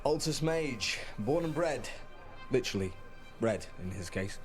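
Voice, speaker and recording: An actor playing a guarded, self-deprecating soldier turned clerk in a video game, with a wry, understated delivery. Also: male voice, medium pitch, animated, unhurried, faint music behind, natural voice. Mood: wry, tense.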